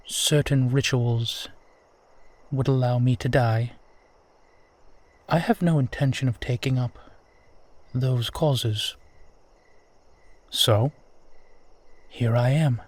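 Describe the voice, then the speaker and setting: Man playing a slow-spoken, courtly vampire. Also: medium pitch, darkish tone. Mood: earnest, reflective. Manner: courtly, formal, measured, tender. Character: courtly, wistful, gentle immortal male vampire. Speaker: voice actor in audio roleplay. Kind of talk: intimate scripted roleplay monologue addressed to listener.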